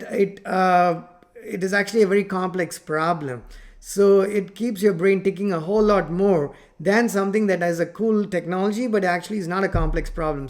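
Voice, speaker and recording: A man in his forties whose first language is Tamil, talking unscripted to a webcam from a home office about software work, rambling with many fillers and restarts while gesturing. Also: high-pitched. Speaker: software company founder teaching coding. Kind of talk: unscripted talk to camera. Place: home office with plant and photos on wall.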